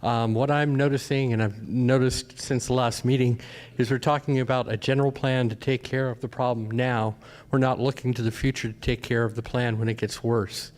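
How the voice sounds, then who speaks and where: A man's voice: medium pitch, darkish tone. Man in his fifties, from California, rambling earnest commenter at a town forum. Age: 50s. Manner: earnest, rambling, asks the room questions. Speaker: audience member.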